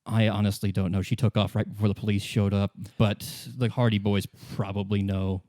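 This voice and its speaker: A man's voice: lowish voice, level tone, a little fast, warm tone, no room sound, close to an everyday voice. A man voices a weary, guarded woman in a tabletop game. The delivery is hesitant and subdued, reluctant to give much away, recorded close and dry. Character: guarded, weary woman confessing her secrets, smoking.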